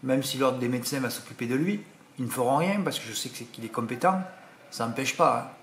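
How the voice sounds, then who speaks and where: A man's voice: medium pitch, fast talker, fairly bright tone, slight background noise. Indignant French man with a regional accent. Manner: indignant, rambling, full of "hein" and "voilà". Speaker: horse racing tipster. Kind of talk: casual monologue to subscribers.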